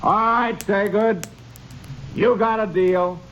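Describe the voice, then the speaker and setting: An actor playing a stern, unyielding Texas Ranger calling out firmly in a 1950s western radio drama. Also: male voice, high-pitched, steady tone, slow pace, roomy sound, highs cut off, loud music behind the voice. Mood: resigned, firm. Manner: firm, projected calling out. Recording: very noisy old radio recording.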